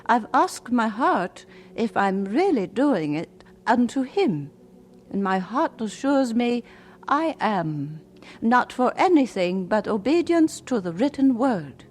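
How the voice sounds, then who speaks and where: An actress playing a devout, earnest widow reading out her letters in a measured, old radio drama style. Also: on the high side, very animated, slight background noise. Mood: earnest devotion.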